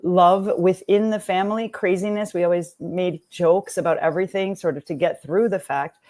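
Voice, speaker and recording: Interview guest, middle-aged woman, Lithuanian-speaking home, deep warm tone, animated storyteller. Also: normal speed. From Texas, USA. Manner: animated storytelling, laughs often, frequent restarts. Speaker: guest, writer and nonprofit founder. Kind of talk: conversational interview.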